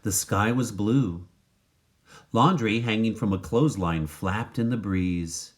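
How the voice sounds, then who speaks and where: A man reading a children's story aloud in expressive scripted narration. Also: fairly low pitch, slow. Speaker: storybook reader.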